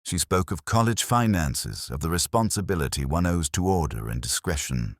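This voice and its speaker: Very deep-voiced man narrating a detective story. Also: animated, normal speed, no echo, clean studio sound.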